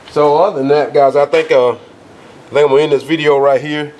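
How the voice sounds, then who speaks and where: A man with a cold and a nasal voice, filming a folksy, rambling walkthrough of a dark house basement on a handheld camera, with lots of fillers and restarts. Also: fairly high pitch, flat delivery, moderate pace, noisy background. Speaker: outdoors video host. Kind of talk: casual vlog walkthrough.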